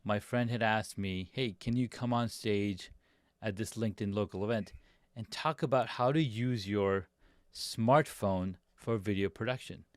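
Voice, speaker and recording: A man's voice: on the low side, measured pace, dry recording, studio quality. A middle-aged man who grew up in Pakistan, Saudi Arabia and the US, chatting upbeat as a guest on a video podcast, with a few restarts. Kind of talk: casual storytelling on a video podcast.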